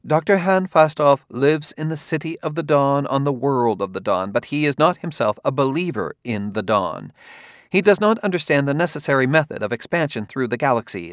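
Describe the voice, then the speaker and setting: A man's voice: mid-range voice, no echo, clean studio sound, voice pushed up, close to an everyday voice. A man voicing a haughty, condescending woman scientist in an audiobook, speaking precisely as if lecturing. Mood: cool, irritated. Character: haughty, cool woman scientist of a spacefaring world.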